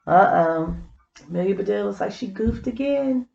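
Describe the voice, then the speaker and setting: A woman's voice: mid-range voice, expressive, moderate pace, vocal fry, dark tone, roomy sound, highs cut off. A woman reading a children's story aloud, with explanatory asides to young listeners. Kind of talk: scripted storybook narration with simple asides.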